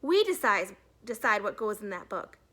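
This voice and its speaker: A woman's voice: mid-range voice, big pitch swings, moderate pace, fairly bright tone. A woman voicing a stern, authoritative female schoolteacher in a storybook, lecturing with emphasis.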